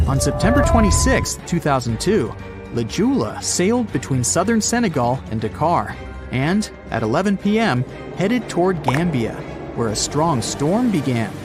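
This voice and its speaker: High-pitched, animated male narrator. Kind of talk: scripted documentary storytelling narration. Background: background music.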